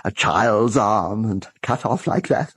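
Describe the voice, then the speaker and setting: Hesitant, husky British male shopkeeper. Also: lowish voice, slow pace, fairly bright tone, no echo, full character voice. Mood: uneasy. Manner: hesitant, obliging, stammering with ers. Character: hesitant, deferential antique dealer. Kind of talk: radio drama acting.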